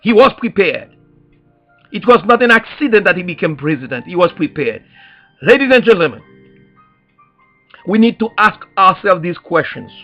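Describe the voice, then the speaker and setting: A high-voiced man with a Haitian accent delivers an activist's public address like a preacher. He hammers phrases through repetition and pointed rhetorical questions. The recording is dull and lo-fi, with faint music underneath. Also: very animated, moderate pace, long pauses. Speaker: activist speaker.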